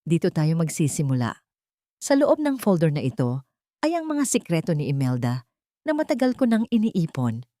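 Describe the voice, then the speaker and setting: A woman's voice: low-pitched, sing-song, moderate pace, dark tone, no room sound, studio quality. A woman voicing a stern, vengeful male mentor in a radio-style drama, grave and commanding. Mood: cold, resolute.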